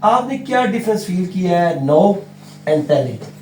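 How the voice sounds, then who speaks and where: A Pakistani man speaks as a formal, polite radio interviewer. His voice sits a little high. The room is clearly echoey, with faint noise behind him. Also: very animated, unhurried, warm tone. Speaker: radio host interviewing a guest. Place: radio studio. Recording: roomy echo, faint background noise.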